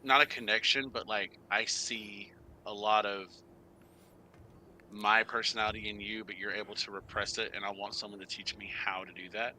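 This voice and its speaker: A man with a low but very sharp, bright voice improvises his character's story at a tabletop game. He speaks haltingly, correcting himself and searching for words, over faint background noise. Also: steady tone, poor audio.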